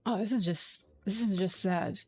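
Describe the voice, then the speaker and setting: A woman's voice: medium pitch, moderate pace, dark tone, slightly rough. A woman streamer giving casual, sceptical commentary on a game, sardonic and free with swearing. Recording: game music behind the voice.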